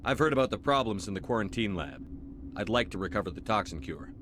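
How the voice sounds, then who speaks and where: An actor playing a steady, resolute military hero in a video game, asking direct questions with composure. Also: male voice, on the low side, fast talker, background noise, close to an everyday voice. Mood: composed, resolute. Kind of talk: video game dialogue acting.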